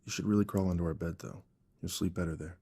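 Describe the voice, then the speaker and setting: A man's voice: low-pitched, fairly even intonation, fast talker, warm tone, slightly rough. A man playing a patient, protective young father and husband in an audio drama, speaking earnestly and naturally. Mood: gentle, tired.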